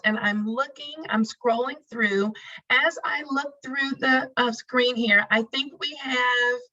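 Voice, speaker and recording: A woman's voice: a little high, pushed and bright. A woman moderating a panel over a video call, chatty and friendly, with many fillers. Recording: dull lo-fi call audio.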